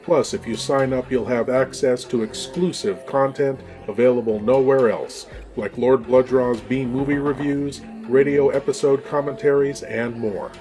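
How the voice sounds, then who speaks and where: A man hosting a horror show with theatrical, ominous scripted narration and deliberate dramatic pauses. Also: medium pitch, steady tone, warm tone. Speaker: horror podcast host. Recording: long hall reverb, faint music behind.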